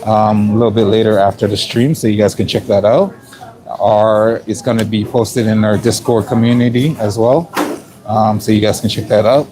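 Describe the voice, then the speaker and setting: A fairly low-pitched man with a raspy edge talks viewers through his prep in a work kitchen. He keeps it loose and conversational, with frequent ums and throat clearing. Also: fairly even intonation, normal speed, a crowd in the background. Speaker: chef livestreaming from work. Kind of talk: casual live-stream narration.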